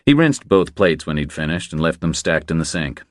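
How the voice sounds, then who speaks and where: A man's voice: extremely low pitch, big pitch swings, moderate pace, no room sound, studio quality. A man reading scripted crime thriller narration as an audiobook narrator.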